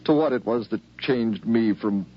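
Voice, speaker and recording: An actor playing a troubled, earnest young soldier son home from battle, in the halting, brooding style of 1950s radio drama. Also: male voice, on the low side, natural voice. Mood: anguished. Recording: dull, noisy 1950s radio recording.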